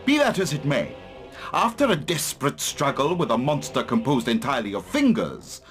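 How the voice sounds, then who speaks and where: An actor playing a pompous, long-winded wizard scholar of the occult in a fantasy game cutscene, with theatrical delivery. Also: male voice, mid-range voice, sing-song, normal speed, fairly bright tone, soft background music. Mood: grave, dramatic.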